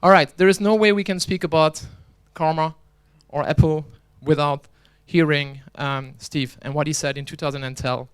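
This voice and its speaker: Clear-voiced man giving a joking conference talk. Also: a little high, steady tone, moderate pace, hardly any pauses. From Belgium. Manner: chatty, joking, restarts often. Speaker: conference speaker and organizer. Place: conference hall.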